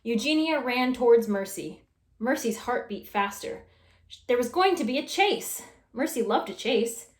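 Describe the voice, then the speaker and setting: A woman's voice: a little high, lively intonation, unhurried. A woman reading a children's story aloud expressively, as a storytime reader. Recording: roomy echo.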